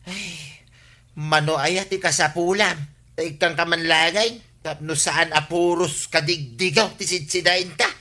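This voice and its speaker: Piercing, grumbling Ilocano husband. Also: male voice, a little high, fairly even intonation, unhurried, sharp tone. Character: whining, grumbling husband picky about his food. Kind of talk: Ilocano radio comedy-drama acting.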